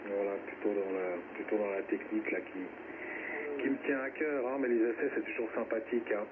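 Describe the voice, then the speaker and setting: A man with a fairly low voice talks casually over amateur radio. The transmission is thin and narrow, with clear noise over it. He speaks haltingly, repeating words and tagging his phrases. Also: steady tone. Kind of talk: casual radio contact chat. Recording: narrow, very noisy shortwave radio reception.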